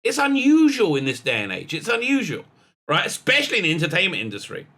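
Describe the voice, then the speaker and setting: Male channel host, raspy from illness, bright tone, halting delivery full of fillers. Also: high-pitched, huge pitch swings. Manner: halting, with many fillers and restarts, tags lines with "right?"; blunt and scornful. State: sick with a raspy voice. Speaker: online commentary channel host. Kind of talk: casual spoken commentary to camera.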